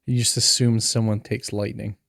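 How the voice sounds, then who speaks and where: A man's voice: lowish voice, level tone, normal speed, gravelly, fairly bright tone, no room sound, studio quality. A man co-hosting a podcast, chatting casually and rambling with plenty of fillers. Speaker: podcast co-host. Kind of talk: casual chat.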